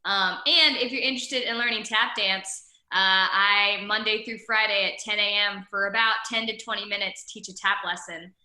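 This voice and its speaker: A woman's voice: medium pitch, steady tone, moderate pace, pushed and bright. A woman who acts and teaches tap, talking in an upbeat, bubbly way on a video call. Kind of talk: casual video-call chat. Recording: echoey room.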